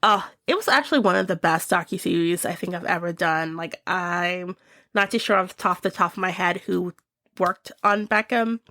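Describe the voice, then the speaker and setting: A woman's voice: lowish voice, normal speed, bright, forceful tone, no echo, clean studio sound. A young woman chatting casually and enthusiastically as she reviews her favorite shows of the year, with many fillers.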